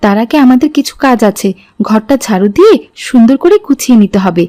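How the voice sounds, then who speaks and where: A woman playing a devout, gentle mother in an Islamic cartoon, speaking in a measured, instructive way. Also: on the high side, sing-song. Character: devout, gentle mother guiding her children.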